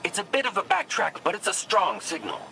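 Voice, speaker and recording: Lively little robot helper. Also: male voice, sits high, brisk, creaky, background noise, full character voice. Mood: helpful. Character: helpful, careful small robot companion, male. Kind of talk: scripted video game dialogue.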